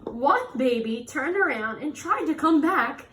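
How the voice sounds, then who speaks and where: Woman doing a kids read aloud, high swoopy voice, stretches words, echoey room, bit of noise. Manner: expressive, drawn-out emphasis. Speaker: read-aloud host for children. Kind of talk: children's story read aloud with short asides.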